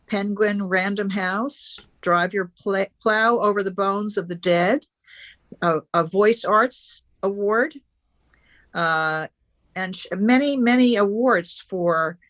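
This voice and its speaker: A woman's voice: medium pitch, takes it slowly, fairly bright tone, no echo. Hesitant woman host, dry sound. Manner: hesitant, restarts and fillers. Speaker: event host. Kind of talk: introducing a speaker.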